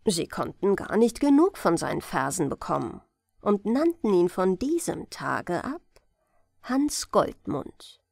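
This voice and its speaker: Slow, melodic bedtime storyteller woman. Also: on the low side, sing-song, gravelly, a little mellow, dry recording, studio quality. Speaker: audiobook narrator. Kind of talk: gentle bedtime fairy-tale reading.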